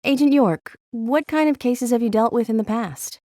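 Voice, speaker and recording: A woman's voice: on the high side, moderate pace, warm tone, dry recording, studio quality, lightly acted. A woman playing a practical, composed small-town policewoman in a video game, speaking in a polite, conversational way. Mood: calm, curious. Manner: polite, conversational, plain-spoken. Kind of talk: video game cutscene acting.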